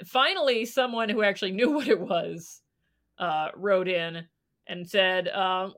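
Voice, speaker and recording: Mid-pitched woman hosting a casual podcast. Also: a bit bright. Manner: playful, bantering. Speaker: podcast host. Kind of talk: casual chat.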